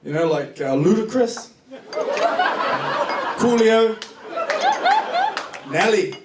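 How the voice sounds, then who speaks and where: A man's voice: high-pitched, lively intonation, slow. A man with a New Zealand accent performing a stand-up comedy set, telling joking stories with plenty of swearing. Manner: profane, joking storytelling. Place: comedy club stage before a live audience. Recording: distant echoey club recording, noisy laughing crowd.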